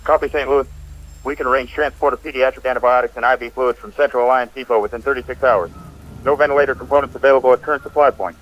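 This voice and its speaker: Male actor as emergency radio coordinator, mid-pitched, rapid, formal, clipped, muffled radio filter, audio drama. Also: fairly even intonation, fairly bright tone. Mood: businesslike. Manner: formal, procedural, clipped phrasing. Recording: muffled, band-limited radio transmission.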